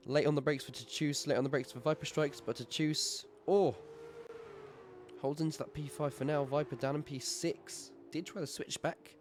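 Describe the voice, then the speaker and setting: A man doing live play-by-play commentary on a sim racing event, with a sore throat that makes his voice strained, talking in a relaxed, chatty way. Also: fairly high pitch, normal speed, a little hiss or hum. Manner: relaxed, chatty, frequent fillers.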